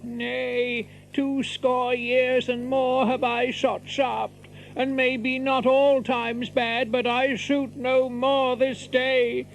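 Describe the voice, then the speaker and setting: An actor playing an older, weary yeoman archer in an audio drama, with a creaky voice. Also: extremely high pitch, flat delivery, very slow, slight background noise, full character voice, low-quality recording. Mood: resigned. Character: weary veteran yeoman archer, gracefully conceding. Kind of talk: theatrical audio drama acting.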